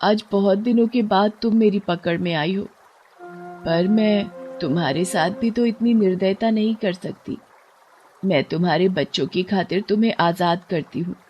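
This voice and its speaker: A woman's voice: mid-range voice, moderate pace, breathy edge, lo-fi sound, soft background music, lightly acted. A woman playing a poor, devout, kind-hearted older fisherwoman in a moral story, speaking earnestly. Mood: torn, compassionate. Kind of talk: moral storybook cartoon voice acting.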